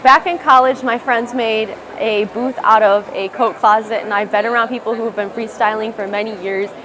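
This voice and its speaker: A woman's voice: a little high, steady tone, normal speed. A young woman with a thick Midwestern accent, a rapper speaking candidly in a documentary interview and often restarting her words. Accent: Midwestern American. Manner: candid, reflective, self-correcting restarts. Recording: noticeable background noise.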